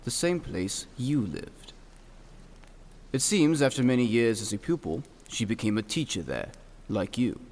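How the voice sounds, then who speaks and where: An actor playing a reserved, stern young clergyman in an audio drama, speaking formally with dry, measured delivery. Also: medium pitch, very animated, darkish tone. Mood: cool, deliberate. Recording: long hall-like reverb.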